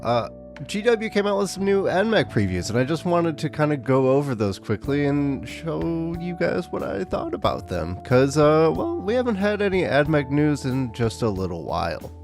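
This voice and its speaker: A man hosting a tabletop gaming video, his voice a little rough from recent illness, with sarcastic, exasperated commentary and dry jokes. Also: a little high, big pitch swings, moderate pace, darkish tone, faint music behind. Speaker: tabletop wargaming YouTuber. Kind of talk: casual commentary to camera.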